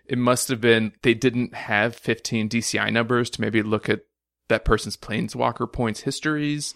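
A man's voice: medium pitch, fairly even intonation, moderate pace, creaky, a bit bright, no room sound, studio quality. A man with a Russian accent co-hosting a podcast, analytical with joking asides. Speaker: podcast co-host. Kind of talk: casual podcast discussion.

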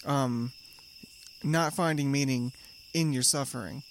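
A man's voice: mid-range voice, measured pace, a little hiss or hum. Reflective man co-hosting a podcast. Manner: supportive, reflective, many fillers and restarts. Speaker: podcast co-host. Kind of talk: casual conversation.

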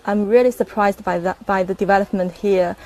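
A woman's voice: mid-range voice, steady tone, brisk, darkish tone, a little hiss or hum. A woman in her twenties from Shandong with a Chinese accent, answering interview questions earnestly in careful non-native English. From Shandong, China. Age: 20s. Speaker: guest, young civil servant.

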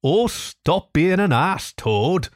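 A man's voice: mid-range voice, sing-song, normal speed, darkish tone, breathy edge, no echo, studio quality. A man voicing an anxious, earnest storybook mole with a worried, pleading manner. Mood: despairing. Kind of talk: storybook character reading.

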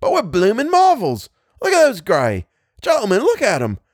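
Man as excitable bellowing trench soldier, high-pitched. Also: moderate pace, no echo, clean studio sound, exaggerated voice. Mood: amazed, elated. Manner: bellowing, breathless exclamations in plain speech. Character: excitable English infantryman in a First World War trench. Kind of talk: dramatised storybook reading.

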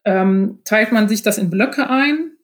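A woman's voice: mid-range voice, slow. A woman speaking as a podcast guest, explaining things from her own experience in a chatty, informal way.

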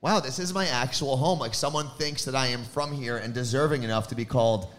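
A man's voice: mid-range voice, fairly quick, a bit bright. A young man hosting a live podcast before an audience, chatty and joking. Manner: laughing, jokey banter. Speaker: radio host.

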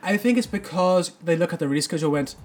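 A man's voice: sits high, very fast. A man co-hosting a casual film review podcast, rambling enthusiastically with lots of fillers. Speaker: podcast co-host.

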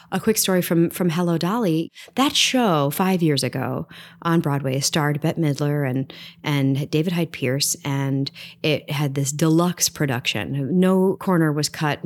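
A woman with a low speaking voice, an actress telling stories in an interview, chatty and animated. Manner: chatty, animated, frequent "you know what I mean". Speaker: Broadway actress, guest. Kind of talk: conversational storytelling interview.

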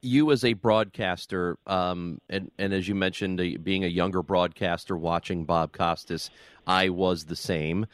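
A man's voice: sits low, no echo. A man co-hosting a radio show, asking questions and making joking asides. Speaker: radio co-host. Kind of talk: casual interview banter.

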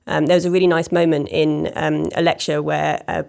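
Low, gravelly-voiced woman. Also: little pitch movement, brisk, a little mellow. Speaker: panel guest. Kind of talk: panel discussion on economics.

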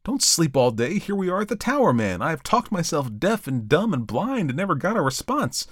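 Guy voicing a high fussy preacher, all worked up, pleading. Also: very animated, moderate pace, dry recording, studio quality. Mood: exasperated. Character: fretful talkative male reverend, middle-aged.

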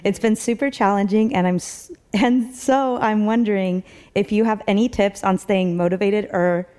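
A woman's voice: mid-range voice, steady tone, normal speed, warm tone. A woman in the audience at a live event, reading a personal question aloud.

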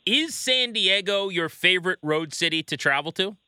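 A man's voice: sits high, animated, moderate pace, bright, forceful tone, clean tone, no echo, clean studio sound. An American man hosting a sports podcast, talking fluently and emphatically as he comments and interviews.

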